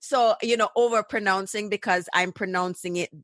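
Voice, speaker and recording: A woman's voice: mid-range voice, steady tone, moderate pace, a bit bright, dry recording. A middle-aged woman with a Jamaican accent, hosting a podcast and telling stories in a chatty way full of fillers. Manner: chatty, storytelling, many fillers. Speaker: podcast host. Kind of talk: conversational interview.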